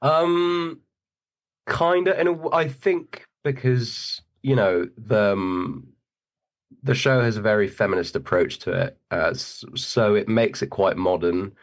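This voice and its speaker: A young man with a British accent tinged with American, speaking as an actor guest in a relaxed, hesitant interview chat, laughing easily. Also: on the low side, a little slow. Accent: British with a hint of American.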